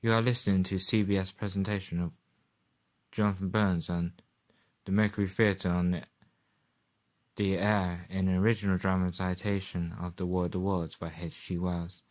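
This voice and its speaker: A man with a low voice acts as announcer for a radio drama. He reads a scripted station announcement. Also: flat delivery, moderate pace.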